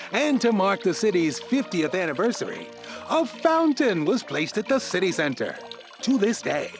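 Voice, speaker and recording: A male actor playing a cheerful small-town tourism promoter, reading a scripted promotional narration in an upbeat, theatrical salesman's manner. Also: high voice. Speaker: tourism board spokesman.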